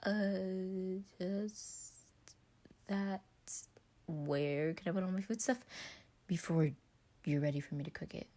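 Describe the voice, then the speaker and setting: A woman's voice: fairly low pitch, dark tone. Woman voicing a guarded, hesitant, nervous man. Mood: nervous, evasive. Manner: hesitant, halting. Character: guarded, nervous man hiding his unease.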